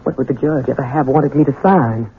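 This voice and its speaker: Husky, anxious housekeeper. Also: female voice, rumbling low, brisk, full character voice. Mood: anxious, distressed. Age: middle-aged. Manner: humble, folksy. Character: anxious, deferential older housekeeper, protective mother. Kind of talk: 1970s radio drama acting. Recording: tinny 1970s radio recording.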